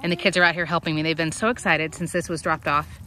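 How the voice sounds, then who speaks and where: A woman's voice: level tone, fast talker, sharp tone, slight background noise. A woman with children chatting casually while filming her chickens outdoors on a phone, explaining how things are built. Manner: chatty, explains while calling hens in a high sing-song. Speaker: backyard chicken vlogger. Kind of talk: casual outdoor show-and-tell. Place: backyard chicken run outdoors. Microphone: handheld phone camera.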